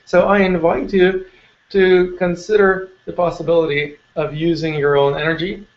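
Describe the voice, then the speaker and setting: A man from eastern Ukraine addressing a meditation circle in a calm, measured way, pausing often with small fillers. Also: a little high, lo-fi sound. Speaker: meditation teacher. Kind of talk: slow spoken address to a meditation group.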